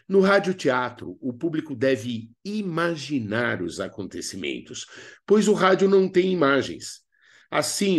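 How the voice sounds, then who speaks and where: A man with a Brazilian accent giving scripted instructional narration as a drama teacher, theatrical and expressive. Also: medium pitch.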